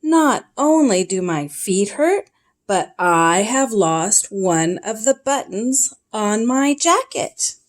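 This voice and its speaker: A woman's voice: mid-range voice, animated, slow pace. A woman voicing a fussy, easily upset male toad in a storybook read-aloud, whining and wailing about a lost button. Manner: whiny, rising to wails and shouts.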